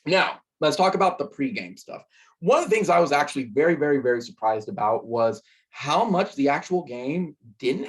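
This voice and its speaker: A young man with a hoarse voice, casually recapping a football game he attended, rambling with fillers. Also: medium pitch. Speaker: sports podcast host.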